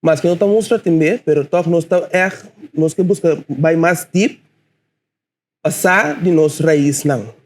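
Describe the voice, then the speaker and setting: A man's voice: on the high side, unhurried, darkish tone. Young man, hesitant poet guest. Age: 20s. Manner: hesitant, searching for words. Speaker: spoken word poet guest. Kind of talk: casual interview answers.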